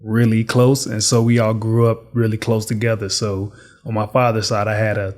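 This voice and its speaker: A man from Dallas and Chicago, a retired boxer, relaxed as he tells stories in a podcast interview. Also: fairly low pitch, moderate pace. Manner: relaxed, easygoing storytelling with laughs. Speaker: guest, business owner and retired boxer. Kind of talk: casual interview storytelling.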